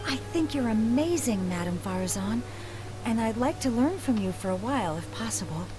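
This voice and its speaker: A woman's voice: a little high, expressive, moderate pace, natural voice, low-quality recording. A woman voicing a polite, admiring young female student, earnest and respectful, in an anime game.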